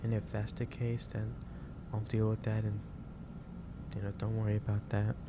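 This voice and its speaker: A man acting as a gentle office boss speaks low and in a near monotone. He is caring and reassuring, picking his words haltingly as in a soft ASMR roleplay. Clear background noise sits behind the voice. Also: lightly acted. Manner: halting, reassuring, unhurried delivery. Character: gentle, caring male office boss lecturing an overworked employee. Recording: clearly noisy background ambience.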